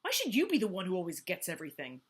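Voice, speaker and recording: A woman voicing a jealous, whiny male jackal who grumbles, demands and yells that nothing is fair. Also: huge pitch swings, quick pace. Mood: whiny, indignant. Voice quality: whining, shrill, indignant.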